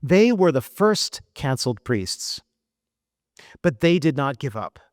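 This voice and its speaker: A middle-aged American man delivers a keynote address at a gala, earnest and emphatic, leaning on deliberate pauses. His pitch rises and falls with a lot of movement, and the sound is close and dry, with little room around it. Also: big pitch swings, no echo. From United States. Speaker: keynote speaker. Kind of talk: formal speech at a gala. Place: event hall.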